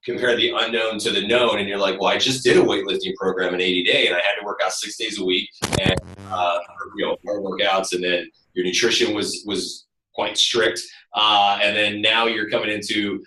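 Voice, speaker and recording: Heard from a distance in an echoey room, a man speaks in a booming, fairly low voice. He is a fitness trainer on a call, casual yet direct and emphatic as he explains and motivates. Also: steady tone, normal speed, pushed and bright. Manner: direct, emphatic, often says "look". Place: echoing room, online call. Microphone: computer, some distance away. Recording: roomy, distant computer microphone.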